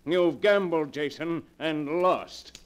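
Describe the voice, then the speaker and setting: A man's voice: on the high side, slow, clean tone. An American man playing a paranoid, imperious businessman with a violent streak in 1940s radio drama style. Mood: coldly menacing. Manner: imperious, menacing. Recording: noisy old 1940s radio recording.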